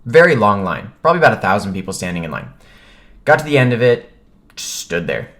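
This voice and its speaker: This is an American man with a deep voice, talking as a vlogger would. He recounts his own experience casually and conversationally. His phrasing is informal, often dropping into short, clipped sentences. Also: big pitch swings, a little fast, a bit bright. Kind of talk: casual first-person storytelling.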